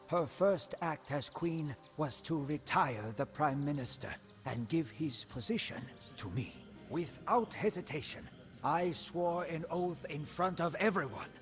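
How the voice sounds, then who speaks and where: An actor playing a proud, devoted commander of a royal guard, narrating a game's storybook in a solemn, deliberate manner. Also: male voice, fairly high pitch. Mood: solemn, resolute. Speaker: narrator of a game campaign story. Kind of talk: scripted storybook narration in character. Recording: music behind the voice.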